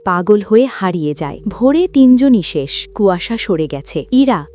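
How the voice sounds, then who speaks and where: A woman's voice: medium pitch, big pitch swings, normal speed, dark tone. A woman reading a scripted, dramatic story as its narrator. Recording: music bed behind voice. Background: music.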